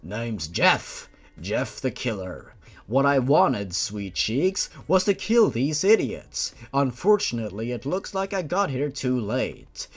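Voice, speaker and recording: A man's voice: medium pitch, sing-song, takes it slowly, husky, full character voice. A man voicing a smug, teasing male serial killer in a horror story reading. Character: cocky smirking male serial killer, sly and flirty.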